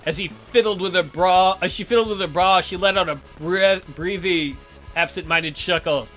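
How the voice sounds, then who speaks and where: A man's voice: high-pitched, moderate pace, a bit bright, faint music behind. A man hosting a let's play, reading a visual novel aloud and breaking off to add irreverent, amused comments.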